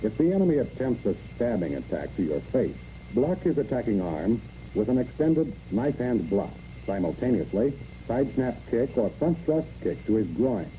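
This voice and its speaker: A man's voice: medium pitch, very animated, moderate pace, warm tone, slight background noise. A man reads scripted instructional narration for a training film in clipped, imperative phrases, on a muffled old film recording.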